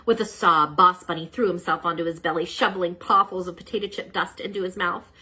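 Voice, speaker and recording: A woman reading a children's storybook aloud as narrator, with an expressive storytelling manner. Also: lowish voice, fairly quick, slightly rough. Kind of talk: children's book read-aloud.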